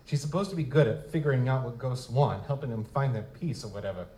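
An actor playing a world-weary, cynical private investigator in a live noir audio drama, wry and slangy in manner. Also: male voice, medium pitch, quick pace, mellow, close to an everyday voice, poor audio. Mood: firm. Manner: wry, slangy, hard-boiled. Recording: live convention performance, clear background noise.

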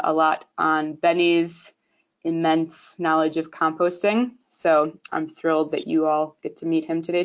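A woman hosting a podcast, reading a scripted intro in a clear, measured way and then interviewing a guest. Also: low voice, lo-fi sound. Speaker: podcast host.

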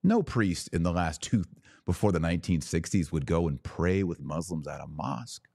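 A man's voice: sits low, sing-song, moderate pace, vocal fry, darkish tone, no room sound, studio quality. A man hosting a podcast, delivering a fervent religious monologue in an emphatic, exhorting manner with rhetorical questions and repetition. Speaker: podcast host.

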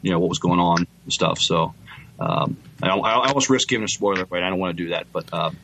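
Halting low-voiced man over background noise. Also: bright, forceful tone, rough recording. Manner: hesitant, halting, repeats words. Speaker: podcast co-host. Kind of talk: casual chat.

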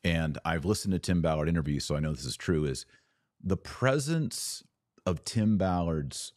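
A man's voice: deep voice, expressive, moderate pace, a little mellow, no echo, clean studio sound. A man hosting a podcast, giving an earnest solo film review and lifting his voice on words he wants to stress. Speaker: podcast host.

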